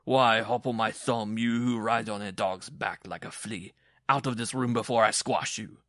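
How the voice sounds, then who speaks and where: A man's voice: fairly low pitch, animated, moderate pace, husky, dry recording, studio quality, exaggerated voice. A man voicing a big, boastful circus rider in a story, contemptuous and blustering. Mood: roaring scorn. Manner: blustering, scornful.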